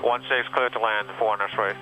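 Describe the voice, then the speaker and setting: Flat-voiced male pilot on the radio. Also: on the low side, moderate pace, dull audio, over music. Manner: short, hesitant readbacks. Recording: distant radio transmission.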